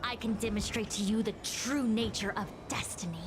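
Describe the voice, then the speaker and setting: An actress playing an imperious seer possessed by a spirit, coldly fatalistic and condescending, in dramatic video game style. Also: female voice, mid-range voice, steady tone, normal speed, background noise, close to an everyday voice. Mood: imperious lecturing. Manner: declamatory, condescending.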